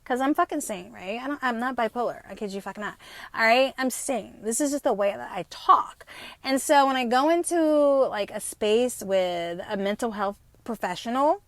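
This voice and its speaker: A woman in her fifties with a New Jersey accent at times, hosting an unscripted podcast monologue, talking fast, swearing freely and tagging her points with "right?". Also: a little high, no room sound. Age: 50s. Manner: fast-talking, profane and rambling; emphatic, with frequent tag questions. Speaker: podcast host.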